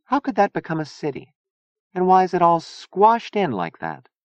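A man reads as an earnest teenage girl, a little anxious and soft-spoken. The pitch sits above a man's usual range, and the sound is dry and close, as in an audiobook. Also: huge pitch swings, normal speed, smooth, no echo, dull audio. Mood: curious. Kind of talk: audiobook character dialogue.

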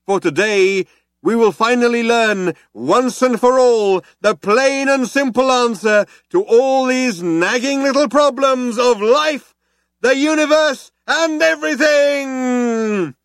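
A fervent public orator, pitched very high and straining loud. He declaims each phrase grandly and stretches it out for a huge crowd, in theatrical audiobook style. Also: slow pace, clear, resonant tone, dry recording, clean studio sound, full character voice. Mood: exultant. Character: fervent male crowd orator proclaiming grandly over a tannoy. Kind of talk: audiobook character voice.

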